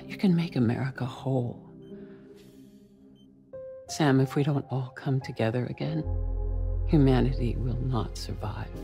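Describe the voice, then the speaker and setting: A dying, elderly female president in a cinematic game. She speaks haltingly with earnest, pleading resolve, while music plays clearly behind her. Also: very deep voice, full character voice. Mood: urgent, pleading. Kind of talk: cinematic video game acting.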